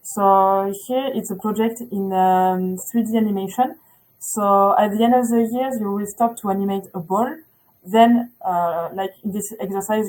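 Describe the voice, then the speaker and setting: A woman's voice: medium pitch, mellow, poor audio. A woman presenting a school's program in a webinar, talking hesitantly with many filler sounds.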